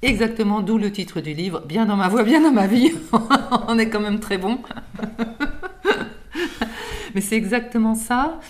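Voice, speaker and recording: A woman's voice: mid-range voice, measured pace, pauses often. A woman from France, a singing teacher and author, explaining patiently in an interview with everyday examples and light laughs.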